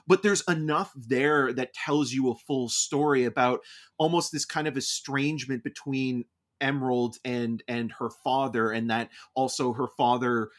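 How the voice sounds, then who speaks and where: A man's voice: mid-range voice, steady tone, a little slow. A man co-hosting a casual film review, explaining his points wordily and with enthusiasm. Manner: enthusiastic, wordy, loosely explanatory. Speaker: podcast co-host reviewing films.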